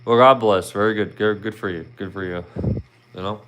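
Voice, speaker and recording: A man's voice: fairly low pitch, fairly even intonation, normal speed, fairly bright tone, slight background noise. A man in his thirties with a Philadelphia accent, chatting casually with viewers on his livestream in a rambling, friendly way full of "you know". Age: 30s. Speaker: livestream host.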